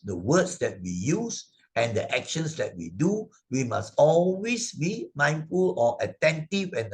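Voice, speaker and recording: A man with a Malaysian English accent, a Buddhist Sunday school teacher explaining moral stories to children over a video call, full of "uh" and tag questions like "right?" and "isn't it?". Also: medium pitch.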